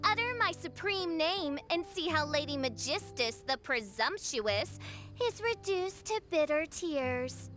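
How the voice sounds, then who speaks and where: A woman's voice: high-pitched, lively intonation, measured pace, fairly bright tone, soft background music. A woman playing a grandiose young lady in a video game, declaiming pompously in archaic phrases. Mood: gloating.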